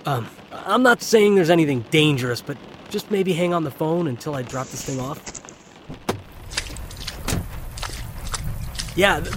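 An actor playing a young delivery driver, a nervous, halting, chatty man on a phone call in an audio drama. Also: on the high side, lightly acted. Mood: uneasy. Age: young adult. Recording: clear vehicle and outdoor ambience noise.

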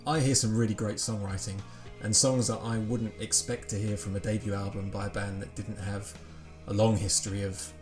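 A man's voice: on the low side, flat delivery, moderate pace, music in the background. A man hosting a podcast, chatting casually and self-deprecatingly before moving on to interview questions. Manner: chatty, self-deprecating, many small restarts. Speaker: podcast host.

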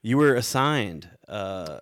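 A man's voice: fairly low pitch, very animated, slow, a bit bright, no room sound. A young man hosting a casual podcast, easygoing and rambling with lots of fillers. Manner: laid-back, rambling with many fillers. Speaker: podcast host.

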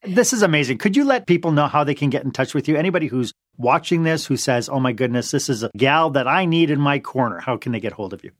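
A man's voice: medium pitch, lively intonation, fast, hardly any pauses, no echo. Enthusiastic male interview host, dry sound. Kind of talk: conversational interview.

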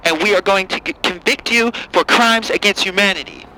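A man's voice: high voice, fairly quick, background noise, voice pushed up, natural voice. A man voicing an indignant, ranting angry liberal caller whose voice cracks upward.

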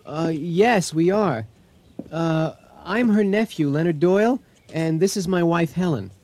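A man's voice: a little high, unhurried, a little mellow. An actor playing a worried married man looking for his aunt in a radio drama, speaking earnestly. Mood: polite, concerned.

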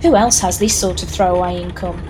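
A woman's voice: on the low side, a little fast, close to an everyday voice. Narrator, female actor: reluctant hired storyteller for audio horror, dry and wry, over noisy ambience. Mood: dry, sardonic. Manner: deadpan, wry. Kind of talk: scripted narration with deadpan asides. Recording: clear background noise and ambience.

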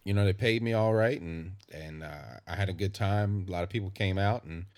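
Easygoing musician, low dry voice. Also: male voice, a little mellow, dry recording. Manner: easygoing storyteller, drawn-out fillers. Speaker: musician guest. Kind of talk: casual interview chat.

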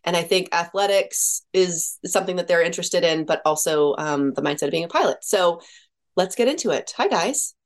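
A woman's voice: lowish voice, lively intonation, fairly quick. A middle-aged woman hosting a podcast interview, talking with casual enthusiasm and a stuffy nose from a cold. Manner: enthusiastic, casual, swears freely. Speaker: podcast host and mindset coach.

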